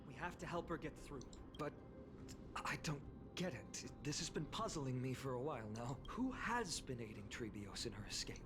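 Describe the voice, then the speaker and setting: A man's voice: medium pitch, expressive, normal speed, close to an everyday voice. A man voicing an earnest young hero in scripted video game dialogue, music behind him. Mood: pondering.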